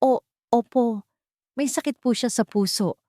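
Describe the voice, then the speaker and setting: A woman's voice: a little high, measured pace, warm tone, no room sound, clean studio sound, voice pushed up, natural voice. A woman voicing a timid, worried young woman in a story, polite and hesitant. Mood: nervous, hesitant. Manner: hesitant, deferential.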